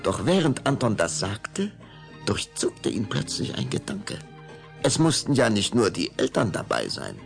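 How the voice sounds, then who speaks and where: A man narrating a scripted story dramatically, with measured phrasing and suspenseful pauses. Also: on the low side, wildly swooping pitch, gravelly, soft background music.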